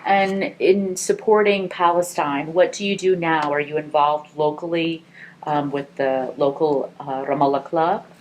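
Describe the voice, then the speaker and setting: A woman conducting an oral history interview, asking gentle, encouraging questions. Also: deep voice, fairly even intonation, measured pace, slight background noise, rough recording.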